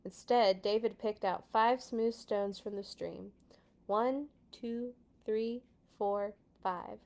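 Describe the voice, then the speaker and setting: A woman hosting a children's read-aloud, expressive, with dramatic playful delivery and casual asides. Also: mid-range voice, slow. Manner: dramatic, playful sound effects.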